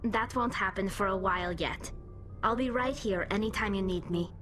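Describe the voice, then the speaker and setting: A woman's voice: mid-range voice, moderate pace, a bit bright, soft background music, natural voice. An actress playing a young, grateful female alien crew member in a video game, earnest and sincere in manner.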